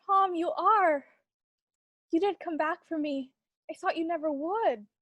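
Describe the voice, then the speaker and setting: A woman's voice: very high-pitched, measured pace, a bit bright, dry recording. A woman playing a fragile, lovelorn young village woman in a 1940s-style radio melodrama. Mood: tearful relief.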